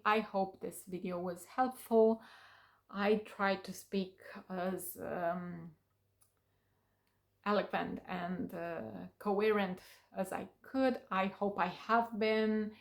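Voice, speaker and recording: A woman with Romanian as her first language talks to her viewers in English. Her voice sits at an ordinary mid pitch. She takes her time and often pauses on fillers as she searches for words. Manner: unhurried, with many fillers and pauses. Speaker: vlogger. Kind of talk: casual talk to camera.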